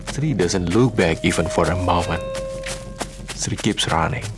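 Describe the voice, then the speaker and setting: A man narrating a scripted radio drama in measured, dramatic short phrases. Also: low-pitched.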